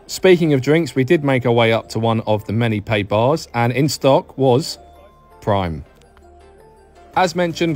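A man's voice: medium pitch, darkish tone. A man hosting a review vlog in a scripted voice-over, speaking phrase by phrase with falling endings in an upbeat manner. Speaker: hospitality review host. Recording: music behind the voice. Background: background music.

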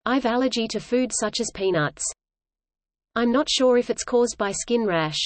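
A woman with a fairly high voice reads a scripted English-lesson dialogue, steady and measured, each line repeated for listeners to follow. The sound is dry and close, with no room around it. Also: no echo.

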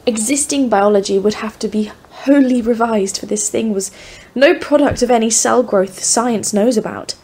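A woman's voice: on the high side, normal speed, a little mellow, slightly rough. A woman playing an earnest expedition leader, narrating events formally in an audio drama. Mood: awed. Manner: formal, literary phrasing. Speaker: voice actor in an audio play. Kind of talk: scripted first-person narration, audio drama.

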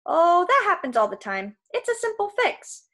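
A woman's voice: very high-pitched, sing-song, moderate pace, sharp tone, voice pushed up, full character voice. A woman voicing a giant male salesman villain in a storybook, fussy and folksy, threatening people in cheerful sales patter. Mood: jovial menace.

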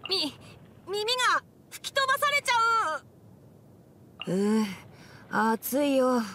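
A woman playing a young male fox-eared forest ranger in a game, bookish and brisk, with a matter-of-fact, helpful manner. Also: very high voice, huge pitch swings, bright, forceful tone, heavily acted. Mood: distressed, complaining. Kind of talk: Japanese anime-style game character voice lines. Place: studio. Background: background music.

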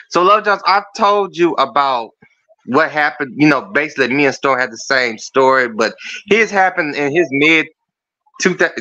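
A man with a feminine-sounding voice rants on a video call show. His tone is bright and his pitch sits a little high for a man. The call audio is muffled. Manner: profane, blunt and rambling. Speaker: caller. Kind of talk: casual call-in chat.

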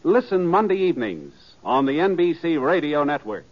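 A man's voice: fairly high pitch, very animated, normal speed. A man with an American accent announcing in a polished, formal 1950s radio style, reading scripted promotion. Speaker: network announcer. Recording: dull vintage radio recording.

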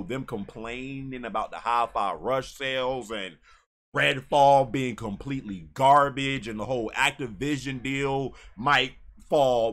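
An American man in his thirties ranting casually to camera as a gaming YouTuber, emphatic and given to repeating phrases. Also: mid-range voice. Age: 30s. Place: small bedroom, indoors.

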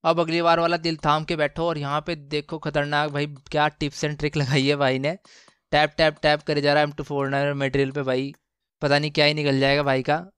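A man hosts a gaming video in a fairly high, clean voice. He commentates casually and excitedly in slang, exclaiming and repeating phrases for emphasis. The recording is dry and close. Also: a little fast, clean tone, no room sound. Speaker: gaming channel host.

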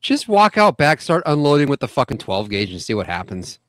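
Blunt man with lively intonation. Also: medium pitch, brisk, no room sound, clean studio sound. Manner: blunt, profane. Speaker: podcast co-host. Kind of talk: casual chat. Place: home room, on a video call.

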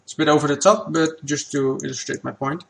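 A man whose first language is Dutch, talking through a software tutorial at his computer in a relaxed, plain step-by-step way. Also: on the high side, quick pace, gravelly. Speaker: game asset creator.

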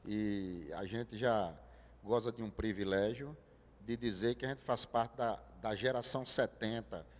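Older man, halting, reverberant studio. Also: fairly low pitch. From Brazil, João Pessoa. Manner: easygoing, speaks in halting bursts with fillers. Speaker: guest visual artist. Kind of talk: studio TV interview. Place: TV studio set. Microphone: handheld mic.

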